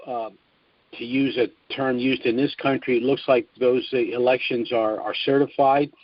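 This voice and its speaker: A man hosting a conference call, speaking in a measured, formal way with frequent filler pauses. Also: medium pitch, no echo, muffled recording. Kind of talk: scripted briefing on a call.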